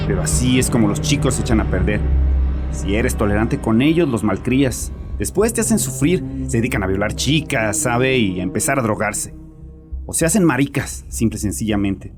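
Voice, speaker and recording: A man's voice: mid-range voice, lightly acted. An actor playing a bitter, harsh, troubled father confessing to a therapist in an agitated, defensive way in a horror audio drama. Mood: bitter recounting. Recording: voice over background music.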